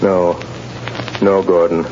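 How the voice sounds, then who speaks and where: A man playing a mysterious, commanding vigilante in an old-time radio drama, with deliberate, dramatic delivery. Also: low voice, little pitch movement. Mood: intense concentration. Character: mysterious commanding male mind-reading vigilante, urgent and intense. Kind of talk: 1930s-40s radio acting. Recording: muffled, noisy old radio broadcast recording.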